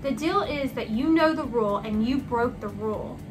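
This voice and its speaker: A woman's voice: a little high, normal speed, music in the background, lightly acted. A woman voicing a stern mother who scolds her children emphatically in a children's storybook.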